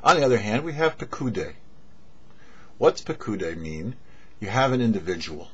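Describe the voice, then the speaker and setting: A middle-aged man, a rabbi, giving a short religious teaching in a measured, explanatory way with deliberate pauses. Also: fairly low pitch, lively intonation, breathy edge. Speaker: rabbi and show host. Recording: dull, lo-fi webcam-style audio.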